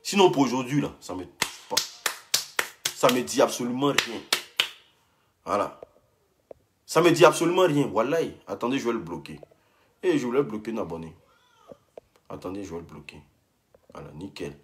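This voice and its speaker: A man's voice: medium pitch, big pitch swings, moderate pace, long pauses, noisy background. A young man, ranting heatedly on a live stream and repeating himself as he answers a rival. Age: young adult. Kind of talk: casual live-stream rant.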